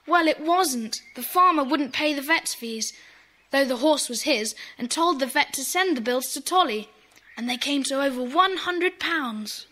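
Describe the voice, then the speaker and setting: A woman's voice: high-pitched, expressive, measured pace, bright, forceful tone, exaggerated voice, rough recording. A woman playing an earnest, kind-hearted schoolboy in a children's audio drama. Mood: worried. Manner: earnest, explanatory.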